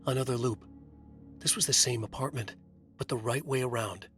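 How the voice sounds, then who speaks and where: An actor playing a haunted, trapped writer, narrating his nightmare in a moody, deliberate noir style for a video game. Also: medium pitch, a bit bright, soft background music, lightly acted. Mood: shaken, disgusted.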